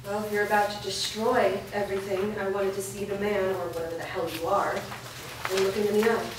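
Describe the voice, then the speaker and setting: A woman's voice: mid-range voice, flat delivery, a little fast, natural voice. Woman playing a wary villager, very echoey. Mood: challenging. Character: wary, fearful young village woman, defensive and bitter. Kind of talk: staged play reading. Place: large bare indoor room, staged reading. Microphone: distant camera. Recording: echoey room from far off, noisy.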